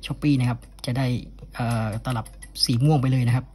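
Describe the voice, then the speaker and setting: A man's voice: mid-range voice, moderate pace. A man speaking casually and unscripted as he presents an item from his collection, often ending phrases with polite particles. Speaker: cassette collector presenting an unboxing. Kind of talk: casual unscripted product showcase. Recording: steady background noise.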